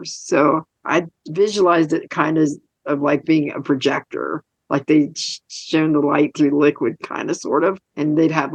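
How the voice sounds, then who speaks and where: A woman with a gravelly creak in her voice co-hosts a podcast. She chats casually and hesitantly, rewording as she explains, in a dry recording. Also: low voice, no echo, poor audio. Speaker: podcast co-host. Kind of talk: casual review chat.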